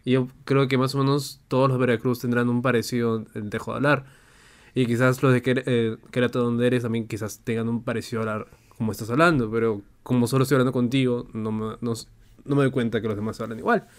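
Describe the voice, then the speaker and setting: A man's voice: medium pitch, level tone, fast. A man from Peru with a mixed Peruvian-Chilean Spanish accent, chatting casually and laid-back with friends. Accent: mixed Peruvian Spanish with Chilean touches. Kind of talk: casual chat.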